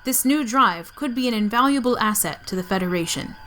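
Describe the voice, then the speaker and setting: A woman's voice: a little high, animated, a little fast, a bit bright, slight background noise. A woman playing a coolly logical starship science officer in a sci-fi audio drama, speaking formally and precisely. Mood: cool, composed.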